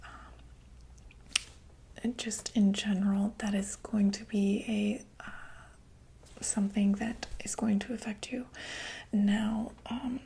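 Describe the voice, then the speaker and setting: Slow, whispering woman in ASMR doctor roleplay. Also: medium pitch, flat delivery, warm tone, slight background noise, poor audio. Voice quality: breathy, mostly unvoiced whisper. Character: calm, attentive doctor to monsters.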